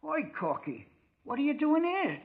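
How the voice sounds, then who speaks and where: An actor playing a boisterous, hard-drinking former navy petty officer with slangy, rough talk in a 1950s radio drama. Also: male voice, extremely high pitch, wildly swooping pitch, moderate pace, very noisy, heavily acted. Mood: boisterous, delighted. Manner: slangy, rough-and-ready working man's talk. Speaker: witness at an identification parade. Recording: dull, lo-fi 1950s radio recording.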